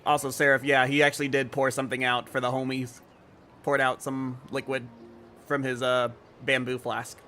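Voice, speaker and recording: A man's voice: medium pitch, level tone, moderate pace, sharp tone. A man giving casual commentary as he streams a game. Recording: faint game music behind.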